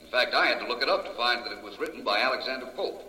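An urbane American detective from 1940s radio drama, confident and theatrical. His bright, forceful voice swings expressively as he speaks. The recording is echoey, with faint noise. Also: mid-range voice, lively intonation, racing. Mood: explanatory, assured. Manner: suave, articulate, theatrical. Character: confident, urbane male detective explaining a murder case. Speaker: lead detective.

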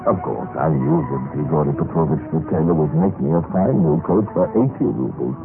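Meek male clerk for radio drama: deep but animated, halting and apologetic, old-time broadcast sound with music behind. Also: phone-quality sound. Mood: wry, resigned. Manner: hesitant, stammering, deferential. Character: timid, apologetic dead government clerk narrating his own death. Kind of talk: radio drama acting, first-person storytelling.

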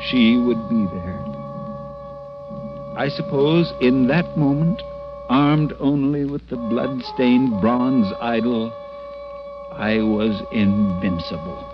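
Music swelling behind muffled old radio, actor as smitten everyman hero, lowish voice, earnest, dramatic. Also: slow, natural voice. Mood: wondering, dramatic. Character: ordinary timid man turned smitten adventurer, earnest. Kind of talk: 1940s-50s radio drama acting and first-person narration.

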